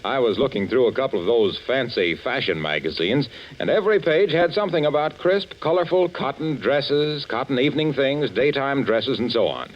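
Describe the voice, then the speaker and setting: A man announcing and selling in the chatty style of a 1940s radio pitchman. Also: lively intonation, moderate pace. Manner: chatty salesmanship. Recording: dull vintage radio recording.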